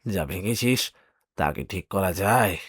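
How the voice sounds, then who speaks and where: A man playing a vengeful, protective elder brother in an audio thriller, speaking slowly and deliberately. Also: sits low, expressive, dry recording, studio quality. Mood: cold menace. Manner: slow, deliberate, threatening delivery. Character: menacing vengeful elder brother, caring only to his sibling. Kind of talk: audio thriller story acting.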